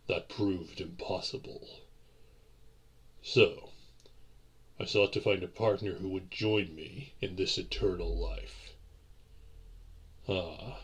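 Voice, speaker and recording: A man playing a centuries-old vampire lord and courtly boyfriend in a romantic audio roleplay, with formal, old-fashioned diction and a husky voice. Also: deep voice, fairly bright tone, exaggerated voice. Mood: wistful, solemn, then gentle, inviting.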